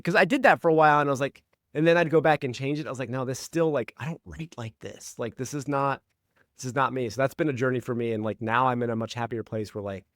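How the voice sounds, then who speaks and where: A young adult man hosting a podcast, talking in a relaxed way and filling his speech with "like.". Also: medium pitch, no room sound, studio quality. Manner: relaxed, heavy use of "like", acts out quotes. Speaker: podcast host. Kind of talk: casual interview chat.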